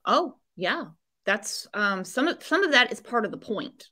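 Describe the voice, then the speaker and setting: Bright high-voiced woman host. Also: very animated, a little slow. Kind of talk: casual panel chat.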